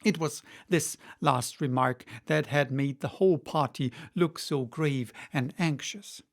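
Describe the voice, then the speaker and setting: A man with a fairly high voice reads a storybook aloud. He narrates as for an audiobook. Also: a little slow, gravelly.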